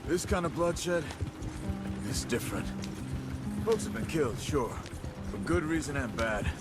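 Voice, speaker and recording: An actor playing a steady, grave frontier man with a measured manner in a western game. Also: on the high side, over loud music. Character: steady, grave frontier companion, seasoned and protective. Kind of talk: video game dramatic acting.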